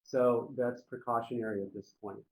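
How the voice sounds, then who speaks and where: A man's voice: medium pitch, steady tone, normal speed, darkish tone, rough recording. Plain-spoken man briefing over faint noise. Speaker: public health presenter. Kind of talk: informal explanatory briefing on a conference call.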